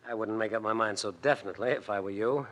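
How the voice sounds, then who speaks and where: An actor playing a smooth gang boss who threatens with clipped, icy politeness in a 1940s radio crime drama. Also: male voice, lowish voice, fast talker, a bit bright, natural voice. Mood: cool menace. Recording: noisy old radio recording with clicks.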